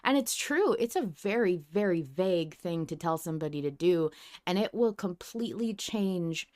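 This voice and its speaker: A woman hosting a casual fan podcast, talking in an excitable, emphatic, sweary way. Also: fairly low pitch, normal speed. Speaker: podcast host.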